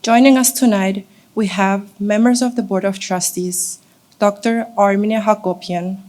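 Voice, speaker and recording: A woman's voice: medium pitch, steady tone, measured pace, a little mellow. A young adult woman giving a formal welcome speech as a student host at an event. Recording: faint background noise, clicks.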